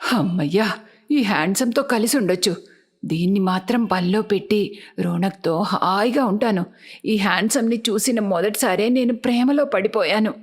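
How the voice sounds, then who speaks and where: A woman's voice: medium pitch, normal speed, breathy edge, pitched below the performer's own voice, lightly acted. A woman voicing a scheming, lovestruck middle-aged stepmother in a cartoon story, with a coaxing, insistent manner. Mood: smitten, scheming.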